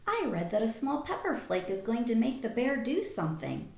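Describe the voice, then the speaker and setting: A woman teacher reading a picture book aloud to young children, expressive, with simple questions, in an echoey room. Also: a little high, moderate pace, vocal fry. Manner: animated, simple child-directed phrasing.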